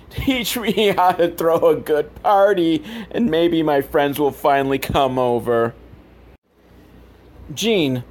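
A man delivers a comedic commentary in a casual, ranting style. His pitch moves around in a very lively way, and his manner is sarcastic and sweary, like a podcast host picking a film apart. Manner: sarcastic, profane.

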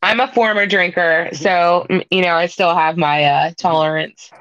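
A woman's voice: fairly low pitch, steady tone, normal speed, fairly bright tone, dull audio. A woman co-hosting a podcast in casual chat, with a wry, colloquial and sweary way of talking. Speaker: podcast co-host reviewing a horror film.

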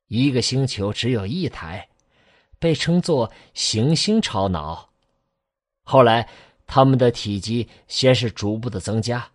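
An optimistic father in a sci-fi radio drama. He explains and reassures with very lively intonation, recorded close and dry. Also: medium pitch, dry recording. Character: space-faring father, optimistic, reassuring family man. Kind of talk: Chinese sci-fi audio drama acting.

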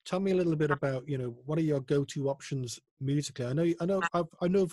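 A man's voice: fairly high pitch, fast talker, darkish tone, no echo. A male host interviewing a guest in a friendly way, often stumbling over restarts of his words. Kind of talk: casual interview.

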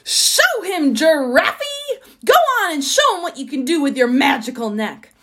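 Storybook monkey played by a woman, daredevil, eager, exclamatory, high, animated. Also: unhurried, exaggerated voice. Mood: excited. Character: excitable daredevil monkey egging on a friend.

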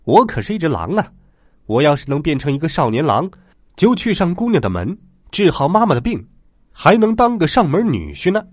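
A man voicing an eager, wistful talking jackal in a children's story, earnest and animated. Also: on the high side, fairly quick, dry recording, studio quality, pitched above the performer's own voice. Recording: music behind the voice.

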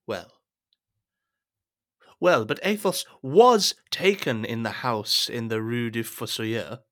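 A man playing a scheming, suspicious cardinal in a period audio drama, speaking in a measured, insinuating, courtly manner. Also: mid-range voice, fairly bright tone. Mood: probing. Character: smooth-tongued, scheming, suspicious cardinal and statesman.

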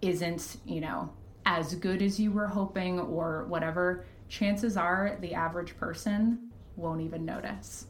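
A woman's voice: lowish voice, fairly even intonation, a little slow. A woman podcast guest talking casually and candidly, giving informal advice with the odd swear word. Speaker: podcast guest, fiction podcast creator. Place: living room. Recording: roomy echo, faint background noise.

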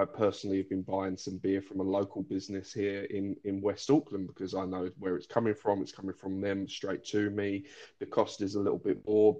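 A man's voice: on the low side, darkish tone, dull audio. A man with an English accent hosting a podcast, chatty and affable, with jokey asides. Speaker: podcast host. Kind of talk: casual interview chat.

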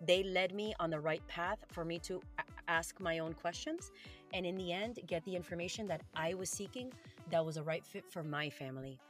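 A woman's voice: lowish voice, moderate pace, faint music behind. A woman from Colombia whose first language is Spanish, speaking earnestly and encouragingly as a podcast interview guest.

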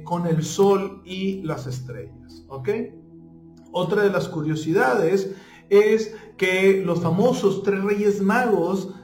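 A man with a high voice talks slowly through a spiritual lesson, stopping often to check in with his listeners. The sound is roomy and echoey, with faint music under it. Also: darkish tone. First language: not Spanish (joking). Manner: halting, many fillers, stumbles over names. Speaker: spiritual teacher. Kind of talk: informal talk on symbolism.

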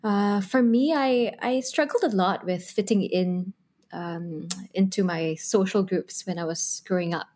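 A woman co-hosting a podcast, sharing her reflections in a thoughtful way with many pauses and restarts. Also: mid-range voice. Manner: thoughtful, with frequent ums and rephrasing. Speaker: podcast co-host. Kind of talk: reflective discussion.

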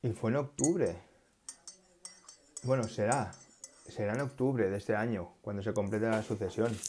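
Slow, low-voiced tech vlogger. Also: male voice, fairly even intonation, darkish tone, a little hiss or hum. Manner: halting, restarts and self-corrections, musing. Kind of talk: casual tech news chat.

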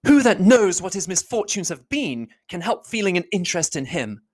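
Reader doing a high indignant young woman, accusing, heated, lively pitch swings. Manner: heated, accusing, rapid declamation. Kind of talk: audiobook reading.

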